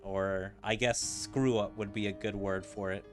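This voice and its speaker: A male gaming streamer giving casual commentary in a rambling way, thinking out loud as he goes. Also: on the low side, steady tone, unhurried. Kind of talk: casual game commentary, reading dialogue aloud. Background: game music.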